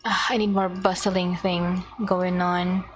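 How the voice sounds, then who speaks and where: A woman's voice: fairly low pitch, level tone, takes it slowly, faint music behind. A woman livestreaming a video game, chatting casually and muttering to herself in short fragments as she thinks aloud. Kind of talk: casual gaming stream chat, thinking aloud.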